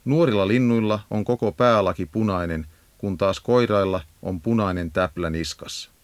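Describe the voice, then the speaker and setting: A man reading scripted educational narration for a nature guide in a measured, instructive manner. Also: lowish voice. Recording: 1980s audio cassette narration.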